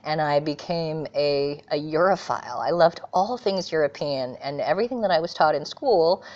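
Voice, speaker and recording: A middle-aged woman with an American accent, speaking in a low voice. She answers earnestly and thoughtfully, pausing often and using fillers. The audio is dull and lo-fi. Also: a little mellow. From California, USA. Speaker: guest. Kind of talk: reflective interview answers.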